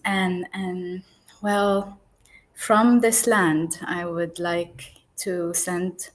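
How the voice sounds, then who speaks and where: A woman from Lebanon, a podcast guest speaking calmly and reflectively over a video call. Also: lowish voice, extremely slow. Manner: calm, reflective, grateful. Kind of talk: live podcast conversation.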